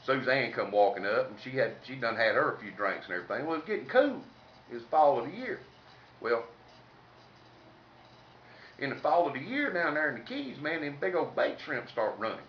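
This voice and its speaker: A man's voice: medium pitch, big pitch swings, brisk, pauses often. A man with a Southern US accent telling humorous stories in a folksy, salty, colloquial way. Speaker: comedic storyteller. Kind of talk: informal humorous storytelling. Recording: roomy, distant mic, faint noise, dull sound.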